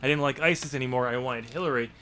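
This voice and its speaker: Bright, forceful man chatting casually. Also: mid-range voice, racing. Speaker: podcast co-host and novel author. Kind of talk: casual book discussion.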